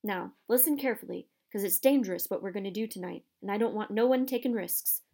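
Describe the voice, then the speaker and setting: A woman voicing a gruff, burly male gamekeeper in an audiobook, growling in rustic dialect with dropped word endings. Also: mid-range voice, fast talker, mellow. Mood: serious, instructing. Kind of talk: audiobook character voice.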